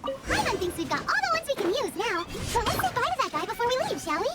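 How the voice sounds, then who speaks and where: A woman voicing a small, chatty, excitable fairy girl with a squeaky childlike voice in a video game. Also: fast talker, music in the background. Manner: speaks of self in third person, exclamatory. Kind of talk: video game character acting.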